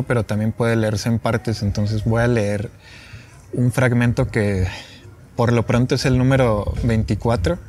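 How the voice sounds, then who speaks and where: A man's voice: mid-range voice, a little mellow, slight background noise. A young man with a northern Mexican accent, a poet introducing and reciting his own work on an open patio. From Chihuahua, Mexico. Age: young adult. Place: open patio with wooden furniture.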